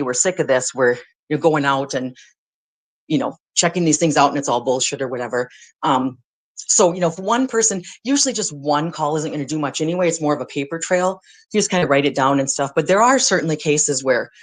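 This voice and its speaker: An American speaker explaining things informally as a guest, in a rambling, conversational and blunt way. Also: mid-range voice. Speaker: guest, former social services worker.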